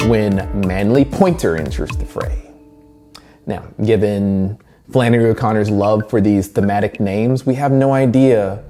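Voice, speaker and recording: A man's voice: on the low side, mellow. A man presenting a semi-scripted literary analysis in a conversational way, with wry asides. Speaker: presenter reviewing a short story.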